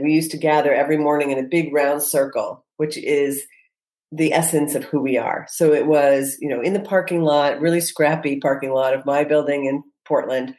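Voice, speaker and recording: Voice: medium pitch, a little mellow, poor audio. An entrepreneur answering interview questions in an earnest, reflective way, often restarting sentences and using fillers. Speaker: entrepreneur guest.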